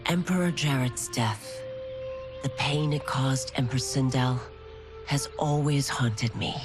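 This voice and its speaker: A woman's voice: rumbling low, takes it slowly. A woman voicing a resolute female constable and former royal guard who speaks earnestly. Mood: determined. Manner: earnest, determined. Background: music.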